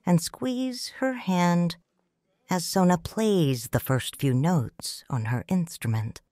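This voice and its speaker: A woman narrating a first-person audiobook story in a measured, solemn and expressive way. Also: lowish voice.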